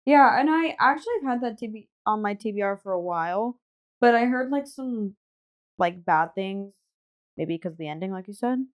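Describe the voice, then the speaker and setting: A woman's voice: medium pitch, lively intonation, moderate pace. A woman podcast host talking casually and conversationally about books with her co-host. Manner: informal, conversational. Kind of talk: casual book chat.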